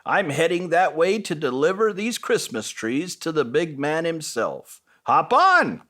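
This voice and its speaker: A man's voice: on the high side, sing-song, unhurried, bright, forceful tone, heavily acted. A man voicing a friendly, obliging male delivery truck in a storybook, modest and encouraging. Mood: obliging, cheerful.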